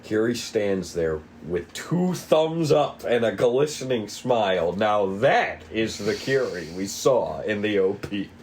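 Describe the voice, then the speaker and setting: A man's voice: fairly low pitch, expressive, takes it slowly, hardly any pauses, darkish tone, slight background noise. A man reading stage directions aloud as the narrator of a table read.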